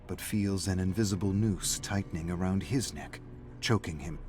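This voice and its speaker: A man's voice: deep voice, normal speed. A man reading a story aloud as a scripted, dramatic narrator. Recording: music playing behind the voice. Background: faint background music.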